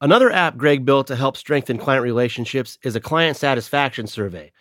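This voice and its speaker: A man's voice: medium pitch, lively intonation, fairly quick, a bit bright, dry recording, studio quality. A man hosting a legal podcast, reading segment intros and asking guests questions. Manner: read-aloud segment intros. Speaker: podcast host. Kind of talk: scripted podcast narration and interviewing.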